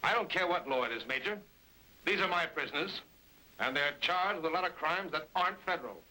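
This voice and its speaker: Casting: vengeful, indignant frontier captain, old radio Western, slightly high and very animated. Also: male voice, fairly quick, rough recording. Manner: forceful, indignant, insistent. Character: hot-tempered, vengeful Western lawman captain hunting outlaws. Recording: old radio drama with faint music.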